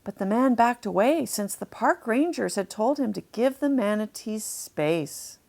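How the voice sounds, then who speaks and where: A woman narrating a children's storybook, deliberately explaining things to young listeners as she reads. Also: on the high side, expressive, creaky, darkish tone.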